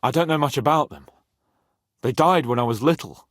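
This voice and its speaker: A man voicing a spirited young nobleman in a video game, with an expressive, irreverent, bossy but loyal manner. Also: medium pitch, normal speed, no room sound, clean studio sound, natural voice. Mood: grave, subdued. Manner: expressive, irreverent, swearing freely. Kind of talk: video game character voice acting.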